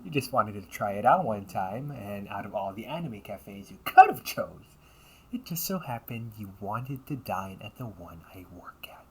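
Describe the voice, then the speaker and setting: A man's voice: lowish voice, very animated, moderate pace, slight background noise, low-quality recording. A man acting as a young delinquent older brother in an audio roleplay, talking in a casual, slangy, needling way. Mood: suspicious, sarcastic.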